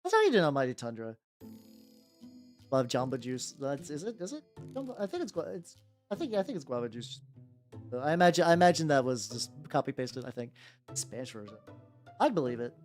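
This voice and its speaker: A livestreamer talks off the cuff with lively, swinging intonation. The delivery is rambling and irreverent, full of repeated words and casual swearing. Faint music plays behind the voice. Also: medium pitch, fairly quick, pauses often. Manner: rambling, stammering, repeats words mid-sentence. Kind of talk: casual livestream chat while painting miniatures. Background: background music.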